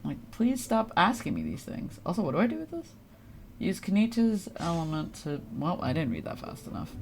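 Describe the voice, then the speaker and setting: Animated young streamer with a mid-range voice. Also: mid-range voice, brisk, creaky, a little hiss or hum. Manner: self-deprecating, informal, swearing. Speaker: live streamer. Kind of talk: casual gaming commentary. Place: indoor live stream with game music behind.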